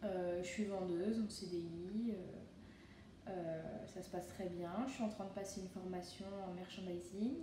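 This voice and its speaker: A young woman, a resident of a youth housing residence, talking relaxed with many hesitations. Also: lowish voice, a little slow, a little hiss or hum. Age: young adult. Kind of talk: testimonial interview. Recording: very echoey room, distant mic.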